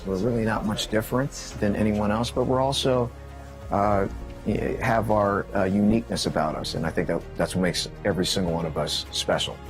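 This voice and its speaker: A middle-aged man from Maryland speaking reflectively in an interview, pausing often and searching for words. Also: on the low side, fairly even intonation, soft background music, rough recording. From Maryland, United States. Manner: reflective, hesitant with many fillers. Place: indoor gym.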